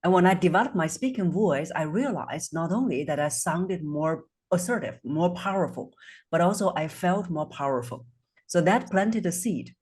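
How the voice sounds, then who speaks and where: Husky-voiced woman voice coach. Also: on the low side, expressive, moderate pace, a little mellow. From Singapore. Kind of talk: interview guest answering questions.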